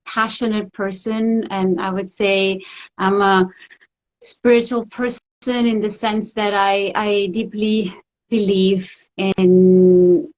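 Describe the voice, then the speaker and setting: A middle-aged woman from the Italian part of Switzerland with a Swiss Italian accent, speaking as an interview guest in a reflective, halting way with soft laughs. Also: medium pitch, little pitch movement, poor audio. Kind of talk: casual interview over a call.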